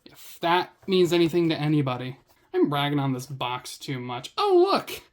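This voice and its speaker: A woman's voice: low-pitched, sing-song, normal speed. A woman reviewing a gadget in a casual unboxing commentary, with a sarcastic, wry way of talking.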